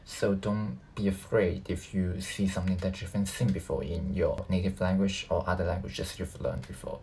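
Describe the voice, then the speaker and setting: A man who natively speaks Cantonese, giving casual reaction commentary as a video host, haltingly thinking aloud. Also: deep voice, vocal fry, darkish tone, slight background noise.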